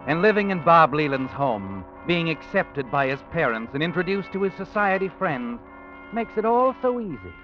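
An actor playing an ominous, knowing narrator of an old radio suspense play, addressing the listener with insinuation. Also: male voice, on the high side. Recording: dull old radio recording, loud music behind.

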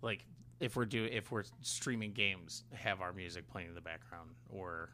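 A man co-hosting a podcast in casual chat, talking in an informal, halting way with loose, slangy phrasing. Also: low voice, steady tone, pushed and bright, slight background noise. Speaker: podcast co-host.

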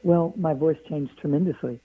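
Hoarse, husky woman guest calling in over a tinny line, earnest and flowing. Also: low-pitched, moderate pace, no echo. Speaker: guest author. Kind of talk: radio interview.

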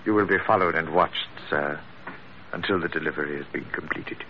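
A man's voice: extremely low pitch, a little fast, over loud music. A man in an old radio drama playing a courteous, shady gun dealer with ornate, faintly menacing politeness. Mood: polite menace. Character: smooth-talking, shady male gun dealer, courteous and florid. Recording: muffled vintage radio recording, faint noise.

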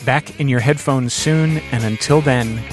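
A man's voice: mid-range voice, measured pace, over loud music. A man hosting a podcast, speaking conversationally in an earnest, appreciative way. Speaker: podcast host.

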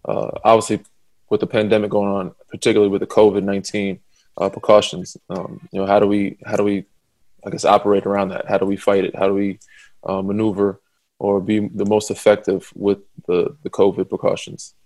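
A man hosting a podcast, with a lowish voice held in a flat, narrow line. He talks loosely and casually, tossing in slang. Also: brisk. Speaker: podcast host.

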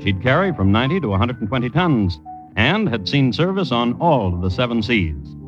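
An actor plays a wry, macabre host of vintage radio crime tales. He narrates deliberately in a mid-pitched male voice with wide, expressive swings. The recording is muffled, with music clearly behind him. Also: lightly acted. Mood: droll, lecturing. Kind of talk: old-time radio scripted narration.

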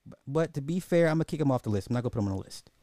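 A man's voice: medium pitch, expressive, very fast, dark tone, no room sound. A man hosting a casual, opinionated commentary podcast in slangy, informal speech, thinking aloud and often repeating his own phrases. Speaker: podcast host.

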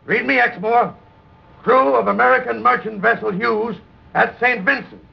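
An actor playing a proud, earnest, warm-hearted merchant captain in an old-time radio drama, with a gravelly, husky voice. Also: male voice, very high-pitched, fairly even intonation, moderate pace, full character voice. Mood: urgent, determined. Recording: dull vintage radio recording.

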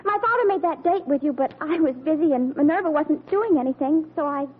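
Impulsive teenage girl, radio sitcom. Gushing, theatrical, very high voice. Muffled vintage sound. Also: lively intonation, brisk. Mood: eager, smitten. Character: melodramatic, boy-crazy teenage daughter, impulsive. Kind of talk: old-time radio comedy acting. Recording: noisy, muffled old radio recording.

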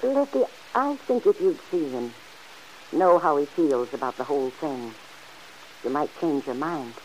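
An actress playing a kindly, principled older aunt who chides gently and earnestly in an old-time radio serial. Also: female voice, sits low, lively intonation, measured pace, poor audio. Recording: hissy old radio recording.

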